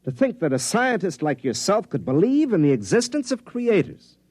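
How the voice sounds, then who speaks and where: An actor playing a scoffing, skeptical scientist and engineer in 1950s radio drama style, incredulous and scornful. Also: male voice, high voice, very animated, normal speed, a little mellow, dry recording.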